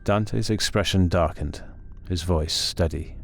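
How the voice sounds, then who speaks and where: A man narrating a scripted horror story in a measured, suspenseful, dramatic way. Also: low voice, creaky. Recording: loud music under the voice. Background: music.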